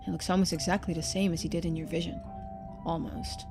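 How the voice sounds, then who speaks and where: A woman streamer reading a story game aloud in expressive narration, with casual asides. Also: fairly low pitch, fast talker, music in the background.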